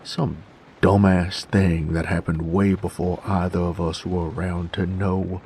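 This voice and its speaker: A man's voice: low voice, level tone, unhurried, darkish tone, a little hiss or hum, voice pushed up. A man voicing a bandit's mocking impression of a gushing, long-winded rich brother in a roleplay audio drama. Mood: mocking, exasperated.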